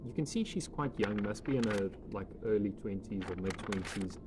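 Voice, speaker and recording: Male game master, casual, improvised, halting narration. Clear background noise. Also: fairly low pitch. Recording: noisy room with background ambience.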